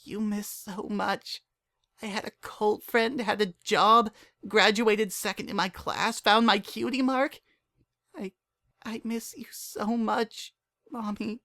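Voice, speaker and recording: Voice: mid-range voice, steady tone, takes it slowly, pushed and bright, pitched above the performer's own voice. A narrator voicing a young pony mare, a hurt but hopeful daughter, emotional and pleading, in a dramatic story reading. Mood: tearful.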